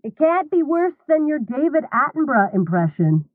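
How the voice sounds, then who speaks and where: A woman's voice: high voice, very animated, moderate pace, no room sound, narrow, tinny audio. A woman playing a scathing, foul-mouthed comedian in a scripted comic argument, with a sarcastic, cutting delivery. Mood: taunting. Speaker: guest comedian in a scripted satire sketch.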